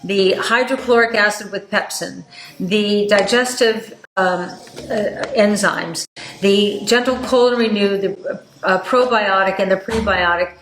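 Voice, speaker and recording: An older woman hosting a podcast, talking in a chatty, animated way with many fillers. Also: mid-range voice, unhurried, soft background music. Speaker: podcast host. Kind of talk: casual health talk. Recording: echoey room with faint noise.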